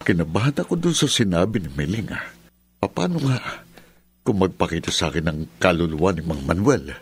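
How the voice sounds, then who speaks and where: A man's voice: sits low, very animated, moderate pace, breathy edge, heavily acted. An actor in a radio drama playing a frightened middle-aged village father who stammers nervously. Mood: scared.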